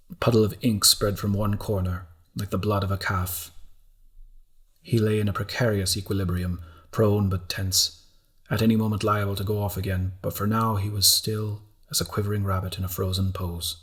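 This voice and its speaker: Male audiobook narrator, low pitch, measured, deliberate phrasing, scripted literary storytelling. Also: fairly bright tone.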